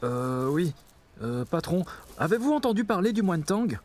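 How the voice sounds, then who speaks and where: An actor voicing a meek, earnest young monster underling in an animated film, polite and hesitant. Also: male voice, sits high, moderate pace. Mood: timid, curious. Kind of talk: animated film dub acting.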